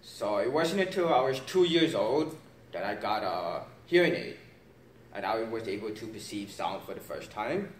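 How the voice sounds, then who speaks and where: A man's voice: fairly low pitch, sing-song, moderate pace. A young man whose first language is sign language, giving a casual, hesitant class presentation with plenty of fillers. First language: American Sign Language. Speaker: student presenter. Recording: echoey room, mic far off.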